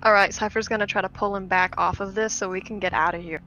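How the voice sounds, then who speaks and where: Female roleplay player, bright and forceful tone, firm casual phrasing, mid pitch. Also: steady tone, fast talker, rough recording. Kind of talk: casual in-character tabletop roleplay.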